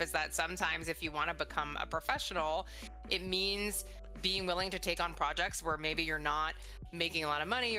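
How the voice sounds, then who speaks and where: A woman's voice: low voice, bright, forceful tone. A middle-aged woman interviewing in a conversational, encouraging and supportive manner.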